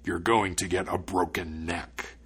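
A man voicing a huge, boastful spirit with a frightening, forceful, threatening and contemptuous delivery, as in a storybook. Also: low voice, fairly even intonation, a little slow, husky, pitched below the performer's own voice, exaggerated voice. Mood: menacing, contemptuous. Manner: threatening, imperious.

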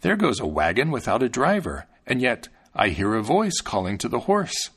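Man playing a scheming stranger, animated pitch. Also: moderate pace, husky, full character voice. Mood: astonished, curious. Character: scheming male stranger, greedy, coaxing opportunist.

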